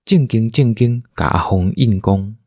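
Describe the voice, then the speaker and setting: A man's voice: fairly low pitch, measured pace, dark tone, no room sound, clean studio sound. A man with a Taiwanese accent narrating a scripted audio drama as a storyteller.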